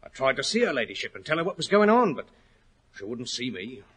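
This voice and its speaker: Bright-voiced, blunt horse trainer. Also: male voice, medium pitch, sing-song, brisk, husky. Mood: earnest, worried. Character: gruff, bold English head horse trainer, respectful to gentlemen. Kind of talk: 1940s radio acting. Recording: noisy vintage 1940s radio recording.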